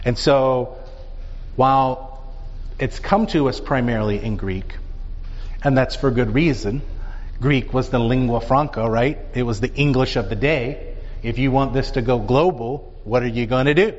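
A man teaching a Bible class, talking in an animated way and dotting his points with rhetorical questions. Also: medium pitch, unhurried. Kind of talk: lecture with audience questions. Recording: dull sound with long reverb in a hall.

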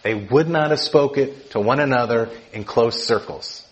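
A middle-aged man from Georgia gives a teaching sermon. His delivery is conversational. He keeps tagging his points with quick questions to his listeners. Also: mid-range voice, rough recording. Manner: conversational, keeps asking "right?" to the room. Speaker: preacher.

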